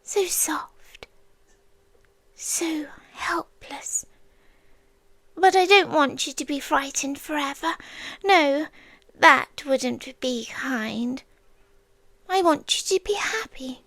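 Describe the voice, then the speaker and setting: Casting: woman as possessive yandere water demon, British, ASMR roleplay. Extremely slow, soft whispery coaxing, tender yet threatening, very close and dry. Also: high-pitched, no room sound, heavily acted. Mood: softly menacing. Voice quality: soft, breathy, often whispered. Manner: slow, coaxing, sweetly menacing with long pauses. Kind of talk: ASMR roleplay, soft intimate delivery often dropping to a whisper.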